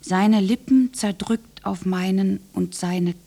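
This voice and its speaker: An actress playing a bitter, war-scarred orphaned young woman in a radio play monologue, halting and intense. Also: medium pitch, dark tone, a little hiss or hum. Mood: feverish, remembering. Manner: halting, intense, dropping into breathy whispers. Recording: music behind the voice. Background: music.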